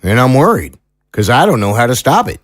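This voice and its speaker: An actor playing an earnest, troubled small-town sheriff in an audio drama, speaking in a folksy, sincere, measured way. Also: male voice, medium pitch, sing-song, no echo, studio quality. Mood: grave, candid.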